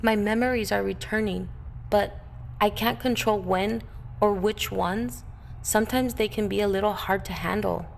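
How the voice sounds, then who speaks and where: A woman's voice: medium pitch, fairly even intonation, normal speed, vocal fry, natural voice. Woman as haunted heroine, cool casual tone, earnest, middle register, audio drama, slight background noise. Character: cool, haunted female robot with troubled memories. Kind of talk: amateur audio drama acting.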